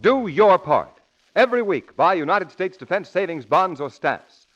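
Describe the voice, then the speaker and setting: A man's voice: high voice, expressive, moderate pace, over music. A man announcing on 1940s radio in a formal, emphatic scripted style. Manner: emphatic, formal sales delivery. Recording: muffled old radio broadcast.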